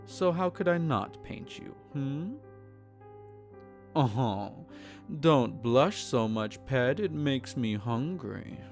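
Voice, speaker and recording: A man's voice: mid-range voice, lively intonation, takes it slowly, creaky, faint music behind. A man playing a possessive, teasing vampire in an intimate roleplay audio, speaking in formal, silky tones and courtly endearments. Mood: hungry, coaxing. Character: possessive, teasing, courtly male vampire, centenarian yet youthful. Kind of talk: intimate roleplay audio, scripted character acting.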